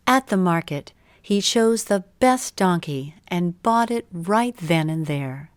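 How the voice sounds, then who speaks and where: A woman's voice: on the low side, no echo. Woman narrating a kids bedtime story, takes her time, really dry sound.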